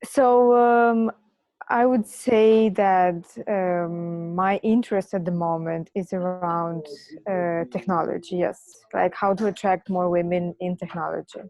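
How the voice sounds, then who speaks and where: A woman's voice: lowish voice, fairly even intonation, a little slow. A woman, an engineering manager joining a video call, speaking hesitantly and searching for words. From Romania. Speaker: engineering manager, webinar participant. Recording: dull, dry lo-fi video call audio.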